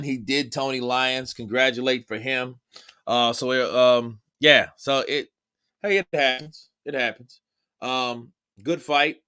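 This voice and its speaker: A man's voice: mid-range voice, sharp tone. A young man doing casual, profane live-stream commentary on boxing and chatting with his viewers. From Texas, United States.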